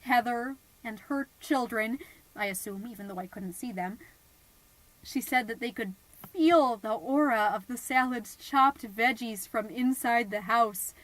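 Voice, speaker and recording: A woman playing a chatty, high-strung suburban mom podcaster, telling stories conversationally with dramatic, confiding asides. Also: a little slow, a bit bright, poor audio. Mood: hushed, awed. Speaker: podcast host character. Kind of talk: scripted comedic monologue storytelling, conversational.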